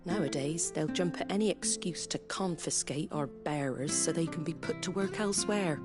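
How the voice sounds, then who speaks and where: An actress playing a weary, plain-spoken middle-aged innkeeper in a video game. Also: female voice, low voice, normal speed, lightly acted. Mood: bitter. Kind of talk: dramatic video game acting. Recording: guitar music behind the voice.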